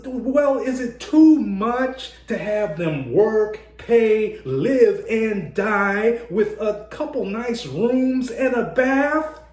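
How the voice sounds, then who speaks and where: An earnest, indignant man acted by a male performer speaks in an emphatic radio drama style. His delivery is slow and swings widely in pitch, recorded in an echoey room. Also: expressive, a little mellow, heavily acted. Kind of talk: dramatic radio play monologue.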